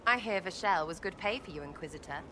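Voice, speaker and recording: A woman's voice: lowish voice, animated, fast talker, noisy background, close to an everyday voice. Woman acting cheeky, clipped young elf rogue. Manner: casual, clipped, irreverent. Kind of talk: game cutscene acting.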